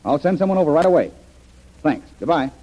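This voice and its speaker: Husky, animated radio adventure hero. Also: male voice, on the high side, a little fast. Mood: urgent, alert. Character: confident male adventure hero, brisk and reassuring. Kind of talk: old-time radio drama acting. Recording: dull, band-limited vintage radio recording.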